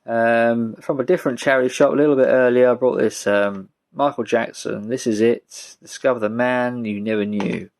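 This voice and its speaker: A British man giving a casual, rambling show-and-tell as a vlogger, speaking in a halting, low-key way. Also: fairly even intonation, darkish tone. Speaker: vlogger showing a music collection.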